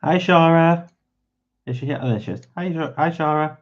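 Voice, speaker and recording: A man's voice: a little high, moderate pace, muffled recording. A man with a stuffy nose hosting a livestream, chatting casually and giggling with viewers as he colors. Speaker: livestream host.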